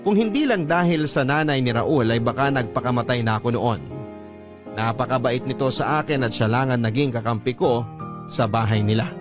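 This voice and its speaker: A man's voice: medium pitch, moderate pace. A Filipino man reading a scripted first-person story as a radio drama narrator. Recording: music bed under narration. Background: instrumental music.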